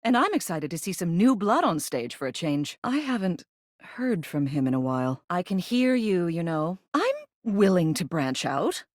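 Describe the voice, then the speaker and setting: A woman's voice: lowish voice, sing-song, moderate pace, no room sound, studio quality. A woman voicing a video game character, a living ceiling who is a haughty yet fond arts critic, speaking in an arch, theatrical manner. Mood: dry, haughty. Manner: theatrical, arch, drawling emphasis.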